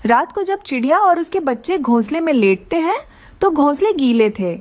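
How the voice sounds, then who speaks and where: A woman's voice: high voice, big pitch swings, moderate pace, dry recording. A woman narrating a children's story from a script as the storyteller.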